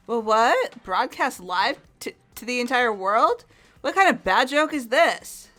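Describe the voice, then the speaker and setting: A woman's voice: fairly high pitch, lively intonation, a little slow, sharp tone, faint music behind. A woman playing an anxious, disbelieving teenage student in a fan-voiced video game. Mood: shocked, disbelieving.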